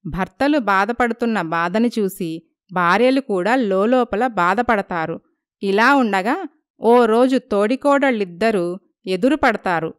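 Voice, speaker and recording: A woman's voice: medium pitch, animated, a little slow, no echo, clean studio sound. A woman narrating a scripted moral story as its storyteller.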